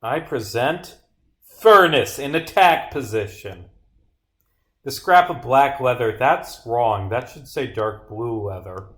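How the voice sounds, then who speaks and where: A man with a mid-pitched voice talks to himself on a casual livestream. He reads bits aloud as he works and grumbles in sweary asides. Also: lively intonation, takes it slowly, fairly bright tone. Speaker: streamer, game developer. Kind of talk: casual livestream muttering while reading and bugfixing script aloud. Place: indoors at a computer, streaming.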